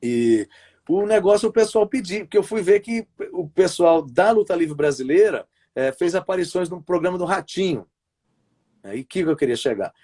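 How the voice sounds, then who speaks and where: A man's voice: high-pitched, expressive, moderate pace, a little mellow. A Brazilian man, a wrestling commentator, chatting casually over a video call, rambling and often restarting his sentences. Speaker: wrestling commentator and play-by-play announcer. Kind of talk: casual interview chat over a live stream.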